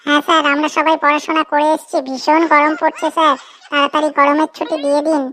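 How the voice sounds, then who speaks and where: A pert young cartoon pupil, acted by a woman, speaking. The voice is high and sharp with little melodic movement, matter-of-fact in its cheek. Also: monotone, fast talker. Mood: eager. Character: cheeky young school pupil, answering the teacher back. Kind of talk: comedy cartoon dialogue.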